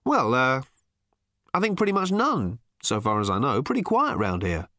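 A man voicing a frazzled, sarcastic middle-aged man who erupts into loud exasperated rants. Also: medium pitch, huge pitch swings, normal speed, dry recording, clean studio sound. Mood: mild, vague.

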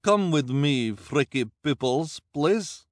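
A man's voice: a little high, animated, slow pace, no echo, clean studio sound, pitched above the performer's own voice. A man voicing a woman fortune-teller who fakes an accent and broken English. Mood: coaxing.

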